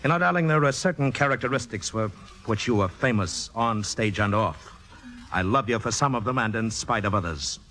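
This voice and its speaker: Exasperated boyfriend in his thirties, lowish voice, dry wry delivery, blunt, 1950s radio drama. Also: expressive, fairly quick, a bit bright. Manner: dry, wry, articulate scolding.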